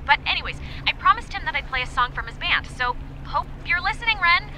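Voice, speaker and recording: A perky American teenage girl hosting a high school radio show in a video game. Her voice is high and very bright, breezy and rambling as she announces casually. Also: lively intonation, a little fast, a little hiss or hum, exaggerated voice, rough recording. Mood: breezy, chatty. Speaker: student radio DJ.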